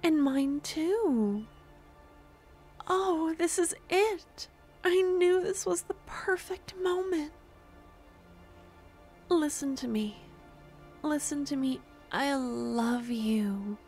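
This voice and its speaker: A woman voicing an obsessive, lovesick young necromancer stalker in an intimate ASMR roleplay, with a breathy, adoring, possessive murmur that often slips into whispers. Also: sits high, animated, darkish tone, soft background music, full character voice. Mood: delighted, then intense, pleading. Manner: adoring, possessive, halting dramatic delivery.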